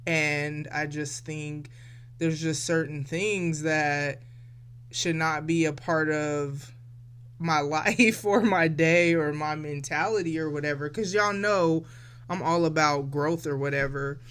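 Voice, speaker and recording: A woman's voice: low voice, steady tone, slow, a bit bright, clear, resonant tone. A woman of forty hosting a casual solo podcast, talking in a reflective, loose and chatty way straight to her listeners. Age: 40s. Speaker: podcast host.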